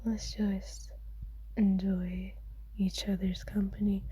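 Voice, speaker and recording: A woman playing an ancient, wealthy vampire, a kind but possessive owner, in a soft, soothing whispered ASMR roleplay with formal phrasing. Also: medium pitch, level tone, takes it slowly, slightly rough, dry recording. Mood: tender, possessive.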